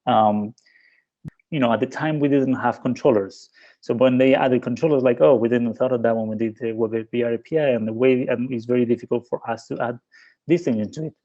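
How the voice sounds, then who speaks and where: A man's voice: medium pitch, steady tone, brisk, dark tone, lo-fi sound. A man from Spain, a guest in an interview, talking casually with many fillers and restarts.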